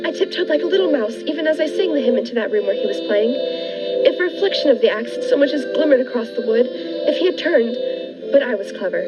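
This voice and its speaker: A woman's voice: on the high side, animated, fast talker, natural voice. A woman playing a frightened, unhinged woman who is nervously appeasing yet secretly cunning, in the style of a 1940s radio thriller. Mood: manic, gloating. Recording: muffled lo-fi recording with music behind.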